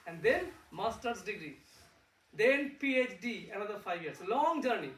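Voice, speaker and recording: A man's voice: high voice, very animated, normal speed, fairly bright tone. Indian-accented male researcher, friendly classroom talk, echoey room, far mic. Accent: Indian English. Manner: simple words, asks the kids questions, repeats words for emphasis. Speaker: physics researcher giving a talk to children.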